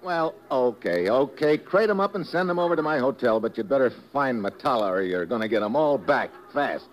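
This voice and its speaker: An actor playing a tough American special agent who narrates in blunt, clipped hard-boiled style in a 1950s radio drama. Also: male voice, medium pitch, lively intonation, brisk, close to an everyday voice. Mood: brusque. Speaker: lead and narrator. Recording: old radio broadcast with music.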